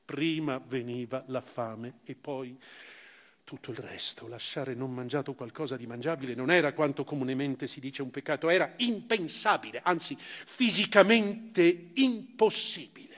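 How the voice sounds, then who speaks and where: An actor voicing a grave, reflective former prisoner, giving a measured, theatrical narration of a memoir. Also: male voice, mid-range voice, fairly bright tone, natural voice. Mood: hushed, sombre. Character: former camp prisoner recounting his past, grave and reflective. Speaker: actor reading a literary memoir. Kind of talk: dramatic literary narration, live stage reading for radio. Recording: long reverberant hall, music present. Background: live music.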